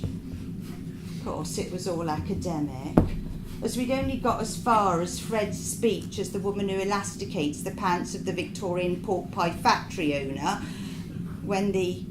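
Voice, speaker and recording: A woman reading a comic monologue live as a naive, chatty narrator, confiding and dropping deadpan asides. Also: medium pitch. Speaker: writer reading her own comic short story. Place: indoor performance venue. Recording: echoey live venue recording with background noise.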